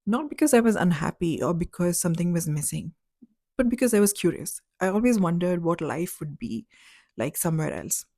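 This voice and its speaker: A woman's voice: lowish voice, animated, a little fast, vocal fry, dark tone, dry recording, clean studio sound. A woman in her thirties from Dubai, telling her personal story in a candid, reflective, conversational way. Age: 30s. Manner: candid, reflective, conversational with fillers like "like" and "you know". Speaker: vlogger.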